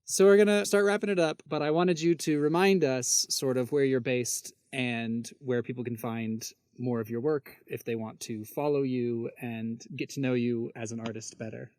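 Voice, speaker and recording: A woman's voice: a bass voice, fairly even intonation, normal speed, few pauses, darkish tone, dry recording. A woman hosting an interview who asks friendly, encouraging questions. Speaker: podcast host.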